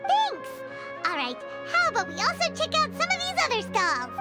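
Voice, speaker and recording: A small, childlike fairy companion from an anime-style game. The voice is squeaky and extremely high, swinging widely in pitch. The delivery is bubbly, eager and blunt, over clear background music. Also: female voice, huge pitch swings, normal speed, exaggerated voice. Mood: excited. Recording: music behind the voice.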